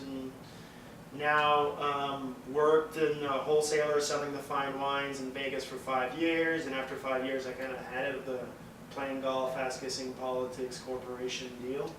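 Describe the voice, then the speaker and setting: A man's voice: medium pitch, noisy background. Man, echoey distant mic. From Montreal, Quebec, Canada. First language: French. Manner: rambling storyteller, frequent fillers and swearing. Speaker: guest, distillery founder. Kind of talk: casual podcast interview.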